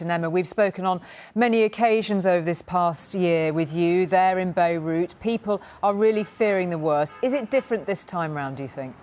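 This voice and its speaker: Low-pitched female news presenter. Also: darkish tone. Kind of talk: studio news presenting and questioning.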